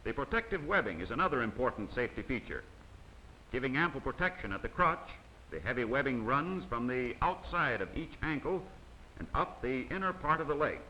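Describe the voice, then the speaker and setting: A man's voice: medium pitch, big pitch swings, brisk, sharp tone, poor audio. Formal instructive male film narrator. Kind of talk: scripted documentary narration. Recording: faint hiss of old 16mm optical soundtrack.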